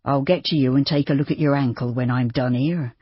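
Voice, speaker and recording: Old farmer type, plain-spoken and steady, reader doing it, breathy, dry sound. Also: mid-range voice, fairly quick, dry recording, dull audio, natural voice. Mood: steady, practical. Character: older farmer, practical, steady and gruff.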